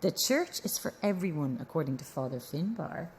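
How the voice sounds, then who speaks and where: A woman's voice: sits low, animated, moderate pace, darkish tone. An actress playing a sceptical, stubborn female news reporter in an audio drama, with an Irish accent and a blunt, probing manner. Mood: defiant. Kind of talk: naturalistic audio drama acting.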